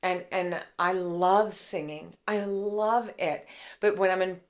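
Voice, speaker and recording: A woman with a raspy voice giving a casual, chatty tarot reading, full of fillers and warm asides to her viewers. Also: on the low side, steady tone, takes it slowly. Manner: gushing, chatty, many fillers like "like" and "okay". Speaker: tarot reader and intuitive.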